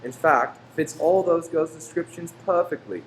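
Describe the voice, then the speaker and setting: Gentle, placating Victorian husband played by a man, roomy sound. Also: on the high side, moderate pace, natural voice. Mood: concerned, wistful. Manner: soothing, earnest. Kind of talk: old-time radio play acting. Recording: roomy stage recording, faint hum.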